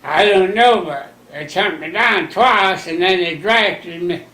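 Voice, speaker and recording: A man in his nineties slowly recounting old memories in a folksy, rambling way, using plain rural grammar. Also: high-pitched, bright, forceful tone, reverberant. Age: 90s. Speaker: interviewee. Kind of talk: rambling recollection.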